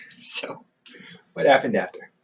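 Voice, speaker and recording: A man's voice: takes it slowly, fairly bright tone, recorded from a distance, poor audio. A man voicing a caring, goofy older brother in an audio roleplay, playful and chatty, laughing often.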